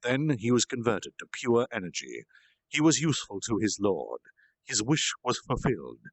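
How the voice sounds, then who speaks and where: A man's voice: medium pitch, sing-song, moderate pace, no echo, rough recording. A man narrating a fantasy story aloud as an informal storytime reader. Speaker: storytime streamer reading aloud. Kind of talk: animated amateur story narration. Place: at home in a reading chair.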